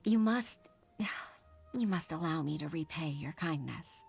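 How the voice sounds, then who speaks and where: Earnest, lower-pitched young woman official. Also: lively intonation, gravelly, darkish tone, slightly rough, soft background music, exaggerated voice. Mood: grateful. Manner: formal, hesitant sighs and pauses. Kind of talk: video game voice acting.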